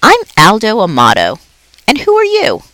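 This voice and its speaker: A jolly night janitor chats and jokes in a bright, lively way, as a woman reading a storybook puts him on. He is friendly and playful, with a bouncy, animated delivery. The sound is dry and close. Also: medium pitch, normal speed, no echo, voice pushed up, rough recording. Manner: cheerful, joking.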